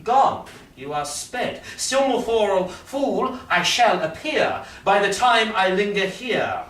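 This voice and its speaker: An actor playing a pompous, self-satisfied prince in a Shakespearean staged reading, declaiming with deliberate dramatic pauses. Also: male voice, sits high, very animated, a bit bright, heavily acted. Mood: dismayed, rueful. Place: live staged reading in a reverberant room. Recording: echoey room from far off, faint noise.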